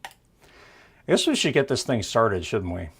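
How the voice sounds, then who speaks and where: A man's voice: medium pitch, big pitch swings, moderate pace, a bit bright, breathy edge. A man hosting a podcast, joking and laughing in casual chat. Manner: jokey, laughs often. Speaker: podcast host.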